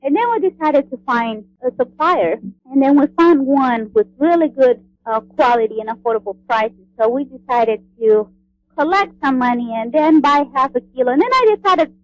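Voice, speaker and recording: A woman from Honduras, a guest on a radio interview, speaking in a friendly, halting way with many fillers. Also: sits high, dry recording, lo-fi sound. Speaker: guest, cookbook author.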